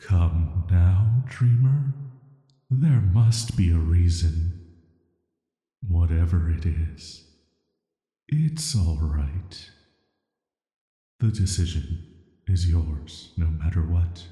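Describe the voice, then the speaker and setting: A man acts out an ancient sealed-away villain in a soft audio roleplay, resigned yet quietly menacing. The voice is very low and dark, flat and measured, hanging in long, echoing reverb. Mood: curious, gentle. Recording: roomy echo with long reverb.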